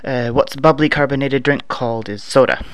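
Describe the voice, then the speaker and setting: A man's voice: medium pitch, normal speed. A Canadian man in his thirties casually reading survey prompts aloud and answering them with dry, offhand humor. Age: 30s. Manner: relaxed, offhand, dry humor with many filler uhs. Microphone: no proper microphone, home setup.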